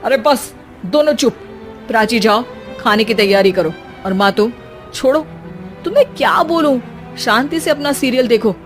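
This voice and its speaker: A woman's voice: on the high side, lively intonation, normal speed, faint music behind, lightly acted. A woman voicing an exasperated young married son in a family cartoon, teasing and wearily scolding.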